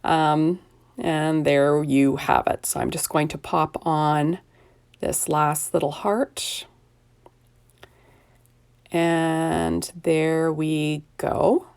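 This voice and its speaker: Older woman host, low husky rough voice with fry, chatty self-deprecating craft tutorial. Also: darkish tone. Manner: chatty, self-deprecating, narrates each step with "there we go". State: hoarse, recovering from illness. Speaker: card-making video host. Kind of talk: casual crafting tutorial narration.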